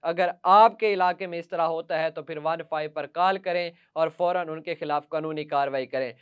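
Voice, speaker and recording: A Pakistani-accented man delivers news commentary in a high, clear voice. He addresses viewers directly and leans on emphatic, repeated lists to press each point. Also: a little fast, fairly bright tone. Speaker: news presenter.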